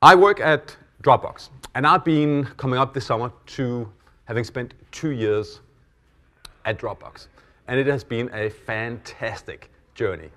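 A middle-aged Danish-accented man hosting a business conference from the stage. He talks in a relaxed, self-deprecating way into a stage mic and fills his pauses with "uh" and "um". His voice sits at a medium pitch for a man. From Denmark. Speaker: conference host and keynote speaker. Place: conference hall.

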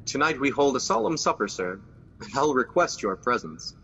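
A man acting as a newly crowned, ambitious king in an amateur radio drama, speaking verse in a measured, regal way. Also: mid-range voice, big pitch swings, fairly bright tone, in a large hall, highs cut off, a little hiss or hum.

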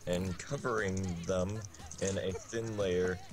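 A man reads comic fiction aloud in a low, deadpan voice. He takes his time, stretching phrases and pausing often, with prominent game music playing behind him. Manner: deadpan, drawn-out phrasing with long pauses. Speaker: guest reader on a game stream. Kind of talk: deliberate comic reading of absurd fiction. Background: loud video game music.

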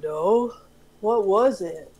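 Woman playing slow-spoken, wary female investigator. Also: medium pitch, lively intonation, darkish tone, slightly rough, heavily acted. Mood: uneasy. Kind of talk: amateur script table read.

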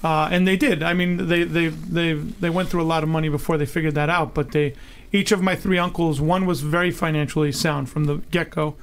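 A man in his forties from Long Island, telling stories as a podcast guest in an animated, laughing way. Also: on the high side, fairly quick. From Long Island, New York. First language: Greek. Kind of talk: storytelling in an interview.

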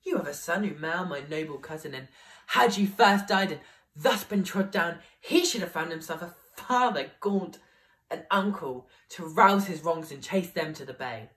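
A man's voice: on the high side, animated, normal speed, fairly bright tone, voice pushed down. A young man playing an aggrieved, banished nobleman, declaiming classical verse as he pleads for his inheritance. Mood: indignant. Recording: voice picked up from a distance.

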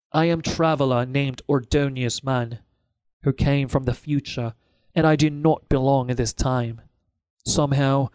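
Male audiobook narrator, mid-pitched, close dry sound, scripted first-person fiction. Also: a little mellow, no echo.

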